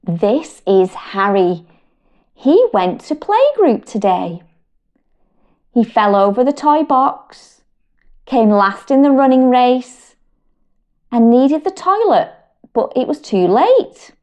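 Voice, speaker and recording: Slow, gentle British woman reading aloud. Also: highs cut off. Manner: slow, gentle and expressive, with big pitch lifts on questions. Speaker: storyteller and wellbeing educator. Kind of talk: reading a picture book aloud to children.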